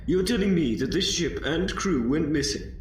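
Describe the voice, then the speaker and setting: Male actor as quirky time-traveling adventurer, lightly high male voice, whimsical, rambling, audio drama. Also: lively intonation, moderate pace, natural voice. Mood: curious. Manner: whimsical, rambling, self-interrupting.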